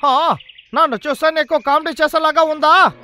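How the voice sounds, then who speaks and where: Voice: high-pitched, steady tone, normal speed, pushed and bright, a little hiss or hum. An actor voicing a grumpy, blustering male lumberjack in a kids' cartoon, scolding and exclaiming. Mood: angry. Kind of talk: dubbed kids' cartoon acting.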